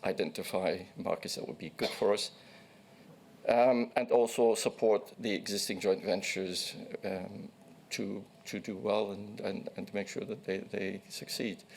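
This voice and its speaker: An older man in his sixties, originally from Egypt, with a low, gravelly voice. He gives formal testimony in a measured way, with small restarts as he recounts his past. A faint hiss sits behind him. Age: 60s. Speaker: witness.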